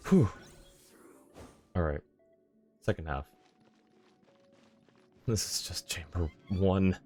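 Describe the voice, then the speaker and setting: A man's voice: low-pitched, lively intonation, takes it slowly, dark tone, faint music behind. A man streaming a video game, muttering casual reactions and commentary to himself as he plays. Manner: muttering reactions while playing. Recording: voice under clear game noise. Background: video game sound effects.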